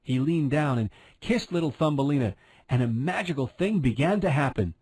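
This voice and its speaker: A man's voice: a little high, expressive, moderate pace, a little mellow, slightly rough, no echo. A man narrating a fairy tale as a scripted storyteller.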